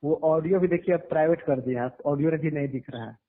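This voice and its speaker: A man with a fairly high voice speaks over a narrow, tinny phone line. His Hindi has a Bihari accent, and he explains his side conversationally, often repeating phrases for emphasis. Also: quick pace. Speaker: music label owner.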